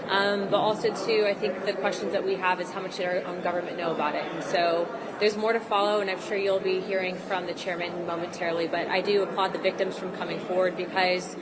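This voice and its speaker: A woman politician giving off-the-cuff remarks to the press, halting and repeating words. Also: on the low side, steady tone. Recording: very noisy press gaggle audio.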